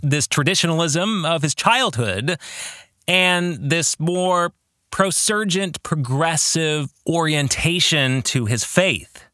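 A man hosting a podcast, giving reflective book club commentary in a deliberate way and putting rhetorical questions to the listener. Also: on the high side, expressive, bright, forceful tone, dry recording, clean studio sound. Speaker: podcast host and author.

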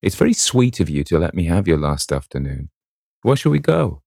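A man's voice: low voice, big pitch swings, normal speed, gravelly, a little mellow, no room sound, clean studio sound, heavily acted. A man voicing an eager, lovestruck young woman in a storybook reading, gushing and breathless. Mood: teasing.

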